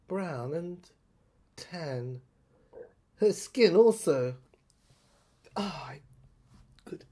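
A woman's voice: mid-range voice, a little mellow. A woman performing a haunted, agitated narrator in an audio drama monologue, stammering and repeating words. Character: haunted, agitated narrator recalling an uncanny asylum.

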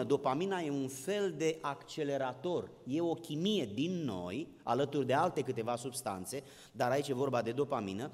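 A man's voice: medium pitch, darkish tone. Male preacher in a reverberant hall. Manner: plain-spoken, didactic, addresses listeners directly. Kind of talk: sermon. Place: large indoor hall with long reverb.

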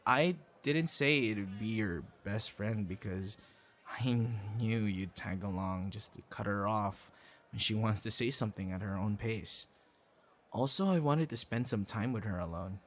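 A man's voice: fairly low pitch, a little hiss or hum, lightly acted. A man acting a shy, kind young boyfriend in an ASMR roleplay, speaking conversationally with hesitations and stammers. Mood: flustered.

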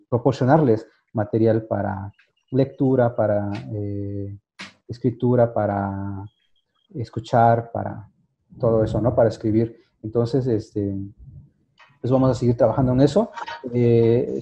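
Dull-sounding call, halting man. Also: mid-range voice, fairly even intonation, slight background noise. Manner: halting, searching for words. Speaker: seminar host. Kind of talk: informal explanation in online seminar. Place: indoor room on a video call. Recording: dull, muffled video-call audio.

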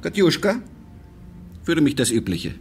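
An actor playing a suave, fatherly and controlling businessman in a radio crime drama, speaking deliberately and courteously with veiled menace. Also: on the high side, very animated, vocal fry, a little mellow, faint music behind, heavily acted.

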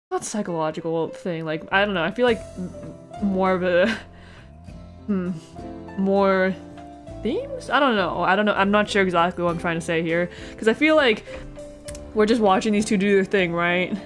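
A young woman streamer giving casual, rambling reaction commentary on a story game, giggling and trailing off mid-thought, with faint game music behind. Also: medium pitch. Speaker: let's play streamer.

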